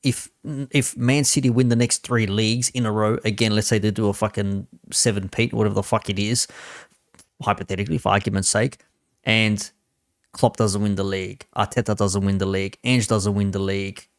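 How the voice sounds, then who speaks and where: A man's voice: lowish voice, flat delivery, fairly quick, no room sound, clean studio sound. A man giving casual, opinionated commentary as a host, blunt and sweary in a conversational way. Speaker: host reacting to a football debate stream.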